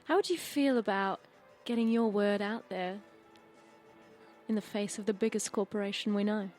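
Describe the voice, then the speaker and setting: Cool female rebel rocker , acted by a woman, mid-pitched, sly and unhurried, game role over soft music. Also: natural voice. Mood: sly, persuasive.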